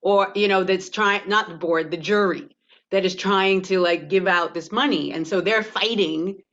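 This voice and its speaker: An actress on an online industry panel, a Canadian woman talking frankly and with animation in a medium-pitched voice, her sound a little muffled by the connection. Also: moderate pace. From Toronto, Canada. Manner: animated, laughs often. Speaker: actor and producer, panelist. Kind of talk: candid panel discussion. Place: virtual panel over video call.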